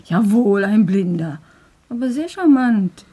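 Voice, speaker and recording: An actress playing a hospitable, talkative young housewife with an eager, slightly flustered manner in a radio drama. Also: female voice, medium pitch, expressive, unhurried, mellow, husky. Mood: defensive, wistful. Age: young adult. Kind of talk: German radio drama acting.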